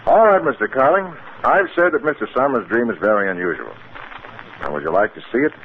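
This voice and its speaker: Scholarly male doctor man acting, proud and professorial, animated pitch, 1950s radio drama, faint hiss. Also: fairly quick, natural voice. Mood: grave, deliberate. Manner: professorial, explanatory. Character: cautious, scholarly male psychiatrist, proud and earnest. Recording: hissy narrow-band 1950s radio recording.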